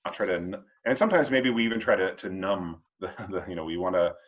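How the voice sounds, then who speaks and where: A man hosting a casual discussion of readings, reflecting in a halting, rambling way and often checking in with the listener. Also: deep voice, lo-fi sound. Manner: halting and rambling, checking in with "you know" and "huh". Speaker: host of a family read-along discussion.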